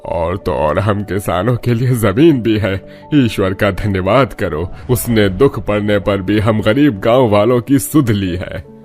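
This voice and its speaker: A man's voice: lowish voice, unhurried, darkish tone, faint music behind, lightly acted. A man voicing a poor, weary but proud village headman in a cartoon tale, earnest and plaintive. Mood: grateful.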